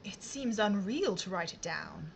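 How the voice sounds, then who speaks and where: A woman's voice: medium pitch, expressive, measured pace, creaky. An actress playing a plucky, witty British aristocratic lady sleuth in a period audio drama, with an arch, refined, playful delivery. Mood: keen, probing. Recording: faint background noise and ambience.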